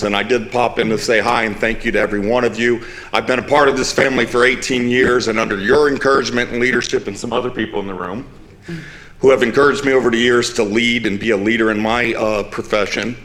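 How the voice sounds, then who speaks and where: A man who is a firefighter and union leader, speaking to a council in an earnest, proud way and pausing often on fillers. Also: medium pitch, steady tone, fairly bright tone, poor audio. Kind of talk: short address to council.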